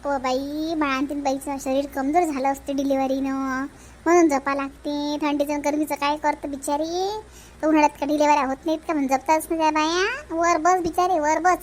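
A woman's voice: high-pitched, flat delivery, a little fast, a little hiss or hum, lightly acted. A woman voicing a bossy but caring village woman in a family comedy cartoon, emphatic, with a Vidarbha Marathi accent. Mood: scolding. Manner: chiding, reassuring, emphatic.

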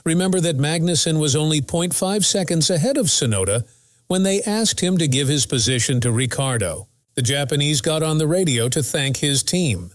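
A man's voice: fairly high pitch, lively intonation, moderate pace, no echo, clean studio sound. A man reading a scripted race recap as the narrator of a motorsport news channel.

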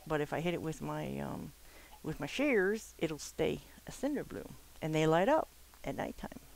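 Nasal, gravelly gamer rambling along. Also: mid-range voice, sing-song, moderate pace, darkish tone, rough recording. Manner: rambling, chatty, casual swearing, frequent fillers. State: stuffed-up from swimming. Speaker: gamer recording a let's play. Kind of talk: casual gameplay commentary.

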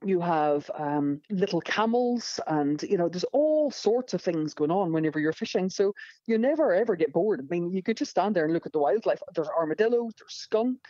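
A woman's voice: on the low side, animated, moderate pace, warm tone, no echo, highs cut off. A woman with a Northern Irish accent, a fishing guide telling stories as a podcast guest, enthusiastic and rambling with many fillers. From Northern Ireland.

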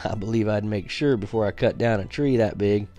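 A man reading aloud and voicing a calm, kindly father who speaks slowly and reasons gently. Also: lowish voice, dull audio, faint music behind, lightly acted.

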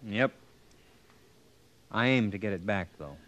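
A man's voice: fairly low pitch, lively intonation, unhurried, a bit bright. A man playing a weary, plain-spoken drifter cowboy in an old-time radio western, with a folksy, laid-back manner. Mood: wry.

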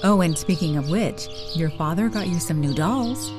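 A woman's voice: lowish voice, expressive, normal speed, over music, lightly acted. A woman playing a patient, motherly but defensive mom in an audio drama. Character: gentle, defensive suburban mother. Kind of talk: radio drama acting.

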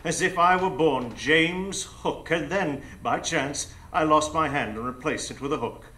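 Casting: grandiose male pirate mastermind, sharp bright voice, mocking and theatrical, live radio drama. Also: a little high, measured pace. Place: improv theatre stage before a live audience.

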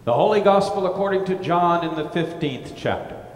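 Middle-aged pastor echoing through a church. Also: male voice, high-pitched, animated, normal speed, slight background noise. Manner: informal, wandering asides with restarts and humor. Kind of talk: gospel reading and sermon. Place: brick-walled church sanctuary. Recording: echoey church with long reverb.

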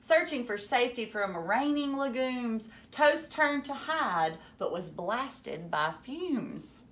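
A woman in her thirties giving an animated, dramatic picture-book read-aloud with teacherly asides in a room at home. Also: a little high, slow pace, slight background noise.

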